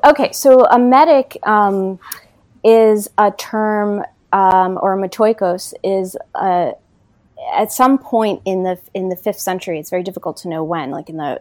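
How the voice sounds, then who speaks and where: A woman political science professor being interviewed about her book, speaking thoughtfully with many pauses and fillers. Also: medium pitch, a little mellow, no echo. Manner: thoughtful, many ums and you knows. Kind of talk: academic interview.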